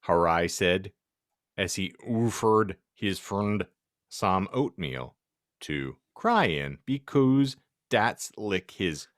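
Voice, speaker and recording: A man's voice: low voice, expressive, slow pace, no echo, clean studio sound. A man hosting a podcast, reading fan fiction theatrically for comedy and exaggerating oddly spelled words. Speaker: podcast host and narrator.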